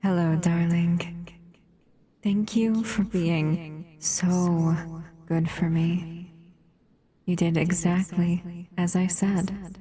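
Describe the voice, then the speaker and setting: Casting: actress as a possessive female monster for audio roleplay, unhurried and slightly low, cooing and teasing. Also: steady tone, warm tone, breathy edge, exaggerated voice. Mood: intimate, sly. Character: possessive, doting female monster, captive in a lab. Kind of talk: intimate audio roleplay acting.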